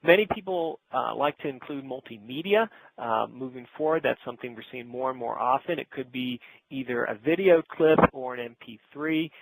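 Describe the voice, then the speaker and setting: A man's voice: medium pitch, moderate pace, creaky, no echo, low-quality recording. Middle-aged man presenting a webinar, dry sound. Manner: conversational, instructive, addresses the audience directly. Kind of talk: informal business webinar presentation.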